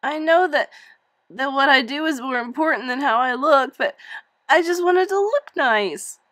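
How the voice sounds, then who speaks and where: Female narrator as a tearful teen girl, storybook role, high and wobbly. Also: takes it slowly, no echo. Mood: pleading. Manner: pleading, on the edge of sobbing. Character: upset teenage girl, tearful and self-conscious.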